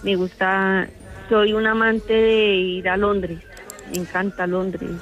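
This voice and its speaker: A woman's voice: medium pitch, little pitch movement, takes it slowly, soft background music. A woman from Colombia, calling in to a radio show and chatting about her travels. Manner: chatty, storytelling. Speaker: radio caller. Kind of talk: phone-in chat.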